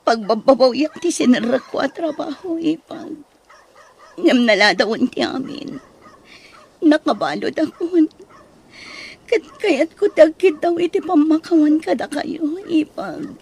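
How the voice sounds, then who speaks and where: An actress playing a remorseful grown daughter in an Ilocano radio drama, pleading and emotive, with an Ilocano accent. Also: female voice, sits high, lively intonation, a little slow, gravelly, a little mellow, heavily acted. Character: remorseful, pleading grown child seeking parents' forgiveness.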